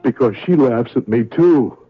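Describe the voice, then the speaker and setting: A man's voice: mid-range voice, animated, normal speed, natural voice. An actor playing an anguished, insecure, lonely man in a 1970s radio drama, earnest and pleading. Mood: troubled, desperate. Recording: tinny narrowband vintage radio recording.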